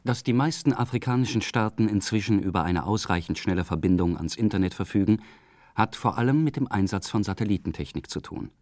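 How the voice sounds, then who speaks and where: A man reading a scripted radio documentary in a calm, measured way. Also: on the low side, dry recording, clean studio sound. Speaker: radio feature narrator.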